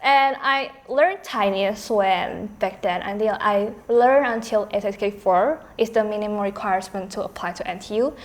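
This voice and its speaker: A woman's voice: medium pitch, moderate pace, few pauses. A young woman whose first language is Indonesian, chatting casually on a student panel and often saying "like". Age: young adult. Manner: chatty, fills speech with "like".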